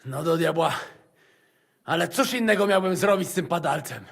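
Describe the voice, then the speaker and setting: An actor playing a hot-headed, foul-mouthed male mercenary with a raspy, gravelly voice and a crude, angry way of muttering. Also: fairly high pitch, expressive, fairly quick, a bit bright, heavily acted.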